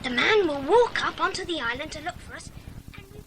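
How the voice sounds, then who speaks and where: A woman playing a bold, quick-thinking British boy adventurer, urgent and decisive, in a children's audio drama. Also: high-pitched, lively intonation, a crowd in the background. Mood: scheming.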